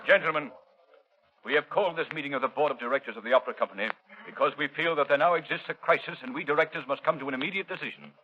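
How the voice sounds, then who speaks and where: An actor plays an officious, anxious male chairman in an old radio drama. He talks very briskly and formally in a husky, slightly high voice. The recording is dull and dated. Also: close to an everyday voice. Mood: grave, formal. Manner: formal, brisk, speech-making. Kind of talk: 1930s radio drama acting. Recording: dull, band-limited 1930s radio recording.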